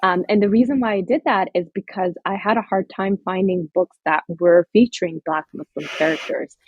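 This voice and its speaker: A woman's voice: fairly low pitch, moderate pace, darkish tone, breathy edge, no room sound, dull audio. A woman from Somaliland, an author and guest, talking earnestly and reflectively in an interview, with many fillers and restarts. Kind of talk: interview conversation.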